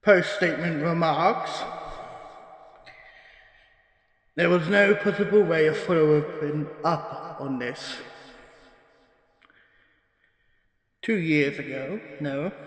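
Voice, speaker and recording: A man playing a jaded, sceptical paranormal archivist dictating case notes in a parody horror audio drama, weary and dismissive. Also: a little high. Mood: dry, dismissive. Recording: echoey room with long reverb.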